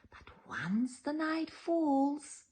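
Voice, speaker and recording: A woman reading a children's picture book aloud in a playful, theatrical way, with dramatic whispers and drawn-out words. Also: sits high, sing-song, darkish tone. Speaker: children's storytime reader.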